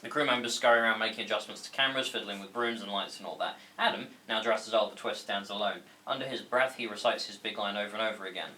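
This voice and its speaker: Bright-voiced man narrating in echoey room. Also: fairly low pitch, quick pace, hardly any pauses. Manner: informal, stumbling over the script. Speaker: narrator reading stage directions. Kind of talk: scripted narration at a table read. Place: indoor room. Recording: echoey room with faint noise.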